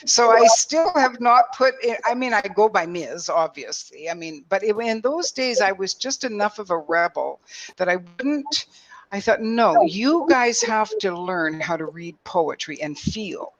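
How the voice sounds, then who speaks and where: Woman, late 60s, deep-voiced, emphatic storyteller on a panel, lo-fi sound. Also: expressive, unhurried. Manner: emphatic, acts out quoted exchanges. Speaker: roundtable panelist. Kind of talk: animated personal storytelling.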